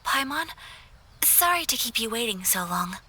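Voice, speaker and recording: A young woman voicing a gentle, composed noblewoman in a game, speaking softly and reflectively, often dropping close to a whisper. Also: on the high side, very animated, moderate pace, heavy background noise. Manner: soft-spoken, reflective, often near a whisper. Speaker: game character.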